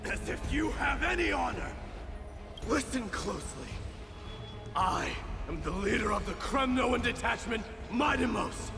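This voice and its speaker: An actor playing a fierce, brooding young warrior prince in a fantasy game, with stern, weighty delivery. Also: male voice, extremely high pitch, lively intonation, a little slow, creaky, full character voice. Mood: vengeful. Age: young adult. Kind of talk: dramatic fantasy game acting. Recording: long hall reverb, music behind. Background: music.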